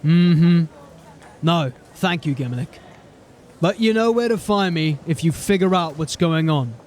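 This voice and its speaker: An actor playing a gruff, shrewd city watch sergeant in a fantasy audio drama, probing and matter-of-fact. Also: male voice, on the high side, lively intonation, unhurried, darkish tone. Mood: wry, matter-of-fact.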